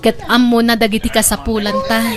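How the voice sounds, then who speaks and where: A woman with an Ilocano accent playing a gentle, affectionate middle-aged wife and mother in a sentimental audio drama. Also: fairly high pitch, little pitch movement, fairly quick, music in the background, natural voice. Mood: cheerful, caring. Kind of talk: Ilocano radio-style audio drama acting.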